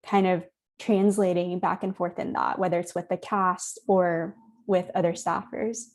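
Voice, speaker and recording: A woman's voice: fairly low pitch, flat delivery, unhurried. A woman with an American accent moderating a casual interview as a journalist, informal and peppering her questions with "like". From United States. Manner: informal, frequent 'like'. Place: remote virtual event.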